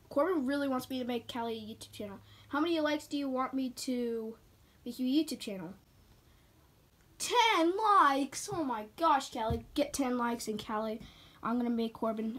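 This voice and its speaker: Young girl, chatty vlogger, fairly high voice, noisy phone audio. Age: child. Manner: chatty, rambling. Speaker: channel host. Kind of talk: home tour vlog. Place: house and yard, indoors and outdoors. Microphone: handheld phone camera.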